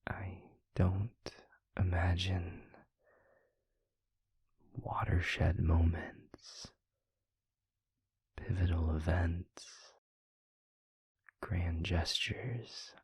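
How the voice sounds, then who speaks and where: Man playing a low, breathy whispering demon lover. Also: fairly even intonation, dry recording, full character voice. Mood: wistful, tender. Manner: slow, halting, soft-spoken delivery. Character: tender male demon lover, wistful and gently teasing. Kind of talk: intimate audio roleplay, ASMR. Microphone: close microphone.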